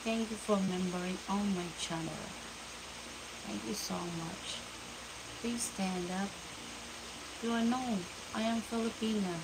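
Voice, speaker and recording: Woman from the Philippines, live-stream host, slow halting shout-outs, loud hiss on the line. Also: mid-range voice, steady tone. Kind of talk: casual live-stream chat greeting viewers. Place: home room at night.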